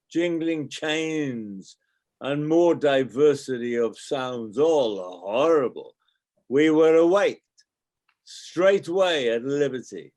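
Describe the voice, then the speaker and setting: A man playing a sailor and servant in a Shakespeare reading, with deliberate, dramatic phrasing. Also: mid-range voice, a little mellow. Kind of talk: unrehearsed Shakespeare play reading.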